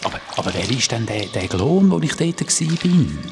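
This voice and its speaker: A man's voice: mid-range voice, big pitch swings, normal speed, music in the background. A man with a Swiss German accent playing a curious, bewildered young adventurer who keeps asking questions in a children's radio play. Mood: curious, puzzled.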